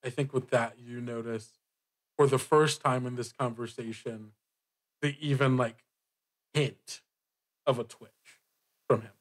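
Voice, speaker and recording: A man describes the action of a tabletop roleplay scene to another player. His voice sits at an average pitch. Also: fairly even intonation, unhurried, pauses often.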